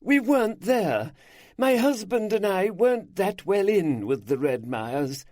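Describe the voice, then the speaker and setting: A man's voice: a little slow, no room sound, studio quality. A man voicing a chatty, gossipy older woman, an aunt showing detectives around her gardens. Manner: lively, raised pitch, gossipy.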